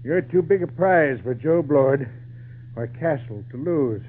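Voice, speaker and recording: A man's voice: a little high, lively intonation, unhurried. A man playing a kindly, fatherly old circus man, loyal and protective, in an old-time radio drama. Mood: tender, solemn. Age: older. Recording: tinny, hissy 1940s radio recording.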